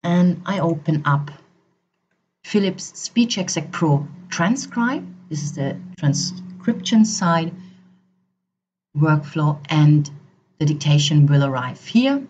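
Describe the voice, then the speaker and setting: Low, mellow woman presenting a software demo. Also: a little hiss or hum. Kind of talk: product demonstration narration. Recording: dull, slightly muffled voice-over.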